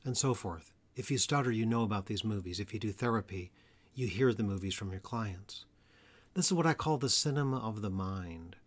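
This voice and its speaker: A man hosting an educational podcast about stuttering, speaking in an earnest, measured way and slowing down on key phrases. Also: on the low side, expressive. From Atlanta area, US. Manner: earnest, measured delivery with slow emphatic phrases. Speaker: podcast host, stuttering therapist. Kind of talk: solo educational podcast talk.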